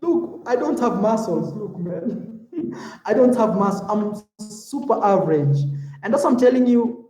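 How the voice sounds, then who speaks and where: A man's voice: sits high, unhurried, echoey room, low-quality recording. A man from Africa chatting casually on a livestream, reading out viewer comments and calling viewers "man" and "bro". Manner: relaxed and talkative, calls viewers "man" and "bro". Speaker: YouTube vlogger.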